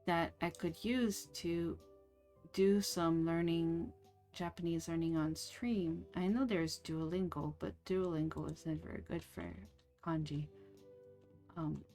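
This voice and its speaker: A woman's voice: lowish voice, steady tone, mellow, soft background music. A young woman whose first language is French, chatting casually and rambling with fillers while she streams a game. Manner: slow, rambling, many fillers and restarts.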